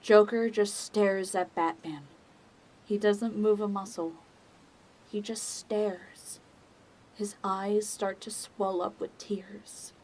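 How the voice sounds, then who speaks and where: A woman giving scripted dramatic narration as the narrator of a story, in a measured, deliberate manner. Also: medium pitch, fairly even intonation, darkish tone, rough recording.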